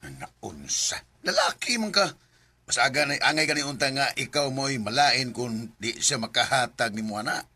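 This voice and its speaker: A man's voice: medium pitch, big pitch swings, normal speed, pushed and bright, breathy edge, full character voice. A man with a Cebuano Filipino accent playing a blunt young buddy who eggs his friend on in a radio drama. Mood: teasing, blunt. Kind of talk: Bisaya radio drama acting.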